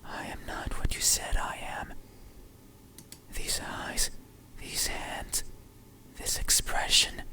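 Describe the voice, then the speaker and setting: A performer voicing a creepy, obsessive supernatural entity in a slow, deliberate, breathy whisper for a horror ASMR game. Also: sharp tone. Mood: accusing.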